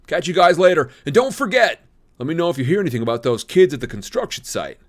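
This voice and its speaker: A man's voice: a little high, big pitch swings, fast, pitched above the performer's own voice. A man voicing a cocky teenage older brother who acts overly friendly but hides something sinister.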